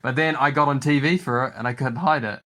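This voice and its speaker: A man's voice: medium pitch, quick pace, pushed and bright. A man from New Zealand hosting a podcast, talking casually in a rambling way with lots of fillers and laughter. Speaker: podcast host. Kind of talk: casual chat.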